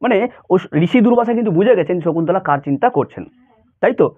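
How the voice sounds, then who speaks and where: Thin phone-like audio, male teacher, highish lively voice, informal explaining, frequent check questions. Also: fast. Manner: conversational, repeated check questions and rhetorical questions. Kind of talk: informal lesson, explaining a story to school students.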